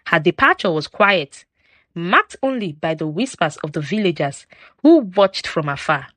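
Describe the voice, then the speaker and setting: Expressive woman storyteller, lively pitch, dry recording. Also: normal speed, dry recording. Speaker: storyteller narrator. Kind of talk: scripted dramatic folktale narration.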